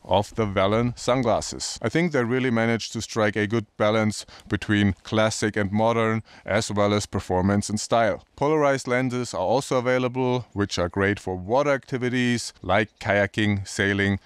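Casual man presenting outdoors in the snow, low smooth voice, dry close sound, chatty and upbeat. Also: moderate pace, few pauses, dry recording. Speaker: ski vlogger. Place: outdoors on snowy mountain slopes.

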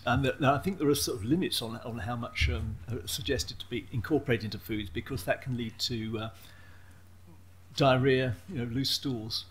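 A man's voice: medium pitch, slight background noise. A man speaking on a conference panel into a table microphone, thoughtful with frequent ums. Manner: thoughtful, fillers like um. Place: large conference hall, seated at panel table.

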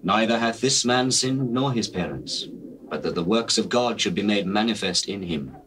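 An actor playing a solemn, authoritative holy teacher, declaiming scripture in an audio drama. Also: male voice, on the low side, lively intonation, normal speed, a bit bright. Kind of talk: dramatized scripture reading, stage-like acting. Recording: noisy old cassette tape recording.

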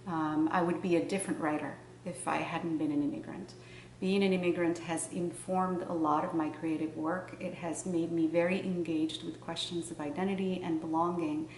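A middle-aged woman with a fairly low voice speaks in a measured, reflective way, pausing to find her words. She sounds a little distant from the mic, and the room adds a noticeable echo with a faint hiss underneath. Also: fairly even intonation, a little mellow. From Morocco. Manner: thoughtful, measured, with pauses and fillers. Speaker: novelist. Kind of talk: interview answers. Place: room in front of bookshelves.

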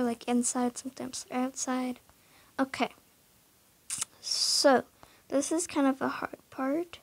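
A woman's voice: high-pitched, vocal fry, breathy edge. A young woman casually talking through her horse barn in a game, hesitant, full of fillers and often dropping into a whisper.

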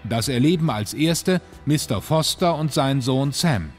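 A man as a grave storyteller for a sci-fi audio adventure. His voice is medium in pitch, with faint music underneath. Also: expressive, unhurried, close to an everyday voice. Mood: grave, ominous. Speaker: narrator. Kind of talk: scripted dramatic narration.

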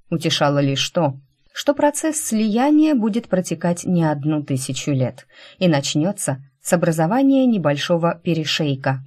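A woman narrates a fantasy audiobook in a low-pitched voice. Her tone is mellow and dark rather than bright, and she reads as a storyteller working from a written text. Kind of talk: scripted narration of a fantasy novel.

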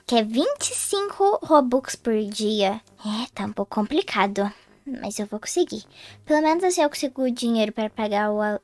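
A woman's voice: a little high, no room sound, lightly acted. A woman voicing a naive girl who has suddenly become a young adult woman, chatty and thinking aloud with whiny sighs in a roleplay game story. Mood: fretting. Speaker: roleplay player voicing Diana.

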